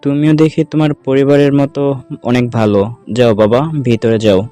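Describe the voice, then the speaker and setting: A man acting as a kindly, watchful man of the household in an audio story. His voice is mellow and mid-pitched, with faint music behind. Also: moderate pace, clean tone, lightly acted. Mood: courteous, kindly. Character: kindly, watchful men of household: gatekeeper, elder brother.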